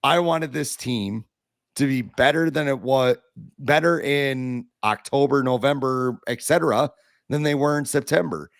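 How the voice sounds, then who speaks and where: A man with a hoarse, raspy voice who has been ill talks in a dry, close setting with no room sound. He is blunt and animated, mixing complaints about his team with jokes at his own expense, at an ordinary pitch for a man. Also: unhurried, no echo. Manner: blunt, animated, self-deprecating. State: hoarse from illness. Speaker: podcast co-host. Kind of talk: casual sports podcast talk.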